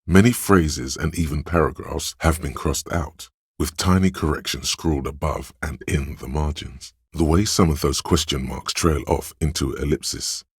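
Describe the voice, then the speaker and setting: Wry game narrator, extremely low bass, heavily rasping husk, deliberate literary phrasing, sardonic inner voice. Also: male voice, dry recording, studio quality, heavily acted. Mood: measured, wry. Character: wry, erudite inner voice narrating a detective's thoughts. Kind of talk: scripted second-person narration for a role-playing video game.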